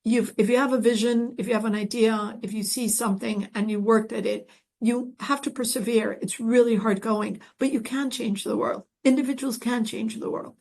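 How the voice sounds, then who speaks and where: A woman from Colombia answers audience questions as a guest expert. Her voice is husky and creaky, and she makes her points earnestly and with emphasis. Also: a little high, fairly even intonation, moderate pace, a little mellow. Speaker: guest speaker, sustainable development expert.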